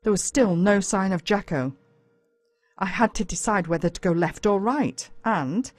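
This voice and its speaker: Low-voiced Australian woman, dramatic storyteller. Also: darkish tone, no echo. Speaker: audiobook narrator. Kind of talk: scripted first-person story narration for children.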